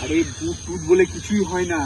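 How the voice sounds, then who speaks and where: A man's voice: high voice, steady tone, a little fast, background noise, natural voice. A man playing a brash, scolding young man in an amateur horror-comedy skit. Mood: scolding, dismissive.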